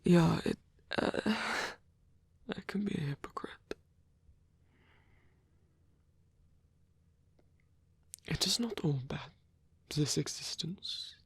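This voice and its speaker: A man playing a lonely, gentle old vampire, murmuring haltingly and breathily in an intimate ASMR roleplay. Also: fairly high pitch, heavily acted. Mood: wistful, teasing. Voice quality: breathy, whispery.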